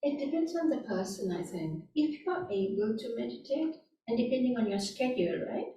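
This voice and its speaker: A woman from Sri Lanka, a meditation teacher, gently answering audience questions at a workshop. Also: a little high, very animated, background noise. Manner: gentle, unhurried, with frequent "you know" and "right?". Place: community meeting room. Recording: echoey room, picked up from a distance.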